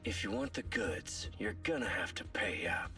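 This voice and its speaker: An actor playing a sinister, greedy, predatory male villain in a game, with a menacing drawl that sinks toward breathy whispers. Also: lowish voice, wildly swooping pitch, moderate pace, distant mic, over music, heavily acted. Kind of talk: animated game voice acting.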